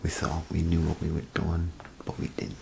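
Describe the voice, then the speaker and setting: A man doing a soft-spoken, half-whispered ASMR role play as a chatty, grumbling bathroom attendant, rambling and hesitant in a folksy way. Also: a bass voice, level tone, normal speed, a little hiss or hum. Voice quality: gravelly, breathy half-whisper. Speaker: ASMR performer.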